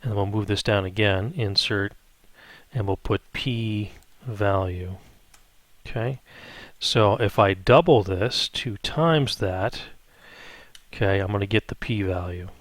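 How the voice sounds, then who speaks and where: Male math instructor, lowish voice, dry close sound, informal tutorial delivery, thinks out loud and frequently tags on check-in questions. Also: measured pace, dry recording. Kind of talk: improvised step-by-step spreadsheet tutorial.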